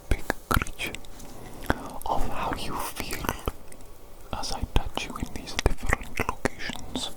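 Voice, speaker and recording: A woman performing a whispered ASMR medical roleplay as an attentive, meticulous doctor, with a breathy, deliberate delivery that repeats words and phrases softly. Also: rough recording.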